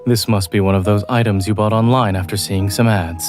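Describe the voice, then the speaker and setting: A man playing a calm, dry-witted doctor in a romantic audio drama, speaking in a measured, soft and deadpan way. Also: on the low side, music in the background, natural voice.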